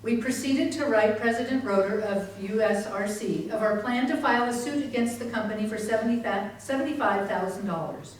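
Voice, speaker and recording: A woman's voice: medium pitch, fairly quick, few pauses, natural voice. A woman playing a determined, indignant activist and investigator in dramatic readers theater. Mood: indignant, earnest. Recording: very echoey hall, far mic, faint noise.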